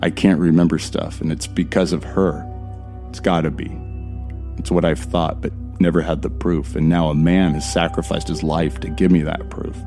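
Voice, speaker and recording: Horror podcast lead: very deep, narrow-ranged, brooding and tense first-person narration, music underneath. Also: male voice, level tone, moderate pace, warm tone. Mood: seething. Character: tense, bitter young man narrating his horror ordeal. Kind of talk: scripted audio drama narration. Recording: music behind the voice.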